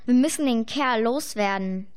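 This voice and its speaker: A woman playing a scheming, reluctant queen in a children's fairy-tale radio play, measured and regal. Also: a little high, clear, resonant tone, natural voice.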